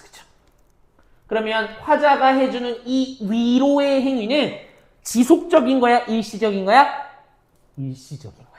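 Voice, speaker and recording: 생기 있게 묻고 답하는 여성 강사. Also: female voice, a little high. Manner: asks quick questions and answers them herself; mixes casual and polite speech, checks understanding often. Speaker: Korean literature teacher. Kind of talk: exam-prep lecture. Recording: roomy echo.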